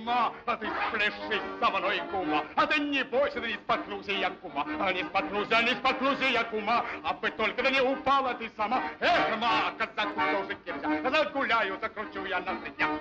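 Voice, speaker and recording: An actor playing a rowdy, boastful Cossack reveler in an old cartoon, swaggering and exclaiming in sing-song phrases. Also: male voice, sits high, lively intonation, moderate pace, hardly any pauses. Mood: carefree singing. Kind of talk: 1940s animated film acting. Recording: muffled old film, loud music.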